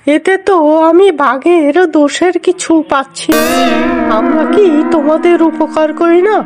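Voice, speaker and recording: A man's voice: extremely high pitch, measured pace, music in the background. A man voicing a self-righteous talking crow who judges with a grievance in a cartoon. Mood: aggrieved, preachy. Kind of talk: cartoon voice acting.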